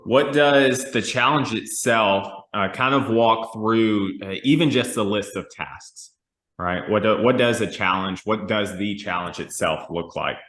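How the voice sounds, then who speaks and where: A man hosting a conversational podcast interview, speaking haltingly and rephrasing his thoughts as he goes. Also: lowish voice, a bit bright. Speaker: podcast host. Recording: roomy, echoey sound.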